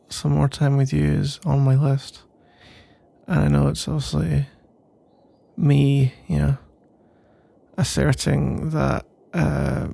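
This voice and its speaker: A man playing a nervous, pensive roommate in an intimate ASMR roleplay, speaking in a soft, husky, halting ramble. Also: a little high, heavily acted. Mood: awkward, tentative. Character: nervous, pensive male roommate working up to a confession.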